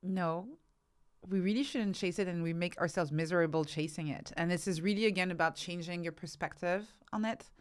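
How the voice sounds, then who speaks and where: A woman's voice: fairly low pitch, brisk, clear, resonant tone. A woman speaking as an interview guest, explaining her ideas thoughtfully and emphatically, often building points through lists of examples. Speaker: interview guest, author and researcher.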